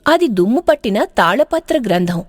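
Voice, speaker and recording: A woman's voice: fairly high pitch, very animated, moderate pace, no echo, clean studio sound. A woman narrating a scripted moral story in a dramatic storytelling style.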